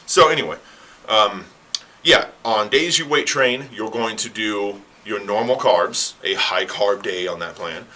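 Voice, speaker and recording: A man who is a fitness coach, talking casually to the camera in a rambling, self-correcting way. He sounds slightly hoarse. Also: on the low side, measured pace, bright, forceful tone. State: slightly hoarse, clearing throat. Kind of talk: casual explainer talk to camera.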